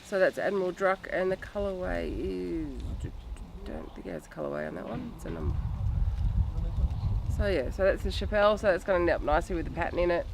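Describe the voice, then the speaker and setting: A woman's voice: on the low side, brisk, creaky. A woman, nasal and getting over bronchitis, chatting casually as a podcast host while showing off her yarn. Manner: chatty, rambling, frequent ums. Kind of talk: casual show-and-tell chat. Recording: steady background noise.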